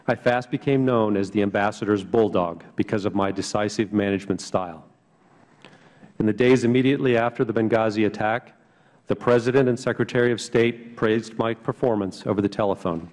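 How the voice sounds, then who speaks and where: Soft-spoken man reading a statement. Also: on the low side. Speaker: witness at a hearing. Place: large hearing room. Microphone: table microphone. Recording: long reverb of a hearing room.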